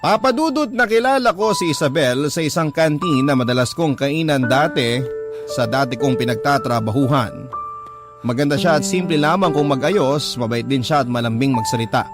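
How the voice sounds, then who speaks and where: A man playing a young letter sender, narrating his love story in a sincere, measured, scripted radio-drama style. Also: medium pitch, close to an everyday voice. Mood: reflective. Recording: prominent music bed under narration.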